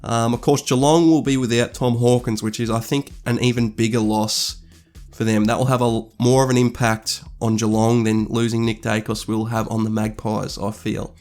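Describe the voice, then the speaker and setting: A man with a stuffy nose from a cold walks through football statistics. His voice sits at a mid pitch, and he speaks in a measured way, pausing to restart his sentences. Faint music plays quietly in the background. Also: flat delivery. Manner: thoughtful, measured, with fillers and restarts. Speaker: sports analyst. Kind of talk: scripted-ish stats commentary over slides.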